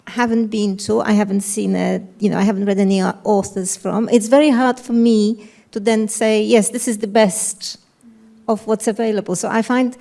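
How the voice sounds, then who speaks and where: A woman editor speaks on a live panel, hesitant and often trailing off mid-thought. Her voice is warm and dark-toned, with a noticeable gravelly fry. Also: mid-range voice, fairly even intonation, moderate pace. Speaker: independent publisher's editor.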